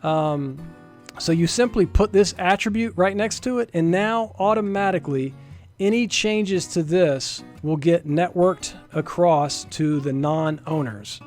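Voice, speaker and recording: Guy with a bit of a higher voice doing a laid-out programming demo, casual explainer, stresses important bits, faint guitar music behind. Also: unhurried, a little mellow. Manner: casual, explanatory, emphatic on key points. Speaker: software developer presenting a demo. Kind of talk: informal screen-share programming tutorial. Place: indoors, screen recording voice-over.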